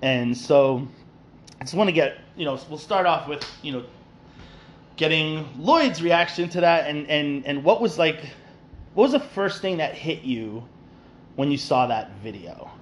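A man's voice: fairly high pitch, expressive, a little slow, slight background noise. A man from New York hosting a casual podcast, rambling with many fillers and restarts. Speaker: podcast host.

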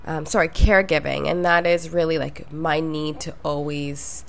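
A young woman talks through her solo podcast, her voice a little nasal from a cold. Her pitch sits low, with some gravel in it. She speaks in a reflective, conversational way. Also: little pitch movement, normal speed. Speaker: podcast host.

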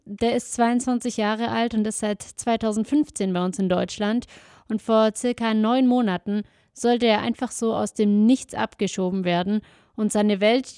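A young German-accented woman hosts a conversational podcast. Her voice sits at a medium pitch and her wording is informal and colloquial. The sound is very dry, with hardly any room in it. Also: a little mellow, smooth, no room sound. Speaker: podcast host and interviewer. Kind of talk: conversational podcast introduction and interview.

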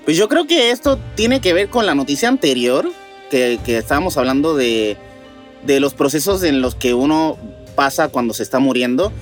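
A man's voice: a little high, expressive, moderate pace, faint music behind. A man co-hosting a paranormal news show, reading items aloud and then reflecting casually in hesitant, searching phrases.

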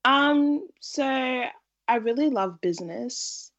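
A woman born in Zimbabwe and raised in Australia, answering interview questions in an earnest, measured way. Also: high-pitched, expressive, fairly bright tone, dull audio. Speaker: interview guest, entrepreneur.

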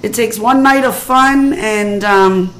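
A woman in her fifties co-hosting a casual podcast, her voice a bit hoarse and raspy, chatting and laughing easily. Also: mid-range voice, slow pace. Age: 50s. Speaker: podcast co-host. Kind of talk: casual chat.

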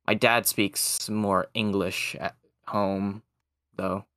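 A young man whose first language is Cantonese, chatting casually and hesitantly, often pausing to search for words. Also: on the low side, little pitch movement, sharp tone, no room sound, studio quality. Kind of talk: casual chat.

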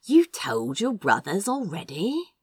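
Audiobook sorcerer, male a woman performing: informal, teasing, incredulous, very expressive pitch. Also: slightly rough, no echo, clean studio sound, heavily acted. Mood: puzzled. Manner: informal, halting, exclamatory.

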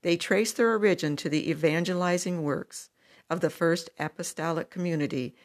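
Low, gravelly woman reading church teaching. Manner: measured, deliberate reading with numbered points. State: froggy morning voice. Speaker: religious teacher.

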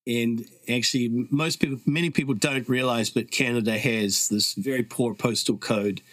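Middle-aged New Zealand man, podcast guest, earnest storyteller, mid-pitched voice, dry recording. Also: steady tone, normal speed, fairly bright tone, dry recording. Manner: reflective, earnest, with frequent fillers. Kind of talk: casual conversational storytelling.

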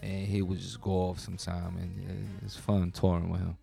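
A man's voice: sits low, flat delivery, normal speed, vocal fry, warm tone. A man from Japan, a guest rapper, telling stories in a laid-back, slangy way. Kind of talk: casual interview chat.

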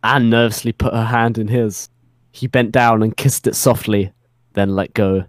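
A man's voice: lowish voice, steady tone, normal speed, no echo. A man narrating fan fiction as a dramatic reading, giggly and often close to breaking up. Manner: giggly, keeps breaking into laughter.

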